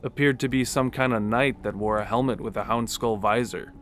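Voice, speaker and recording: A man voicing a wry, teasing male soldier in an audiobook, with a dry, cheeky delivery over soft music. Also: medium pitch, steady tone, fairly quick, natural voice.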